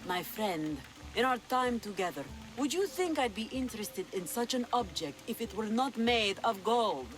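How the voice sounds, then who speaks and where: A woman's voice: a little high, expressive, normal speed, soft background music, lightly acted. A woman plays a middle-aged pirate queen in a video game. She is sly and imperious, savouring her words with languid, teasing theatricality over a musical score. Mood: haughty. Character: imperious, sly, treasure-loving older female pirate queen. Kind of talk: video game cutscene acting.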